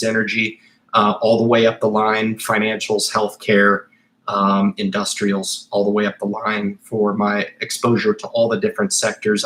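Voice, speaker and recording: A man in his thirties hosting a casual livestream, reading chat questions and answering in an animated, rambling way with many fillers and restarts. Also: fairly low pitch, low-quality recording.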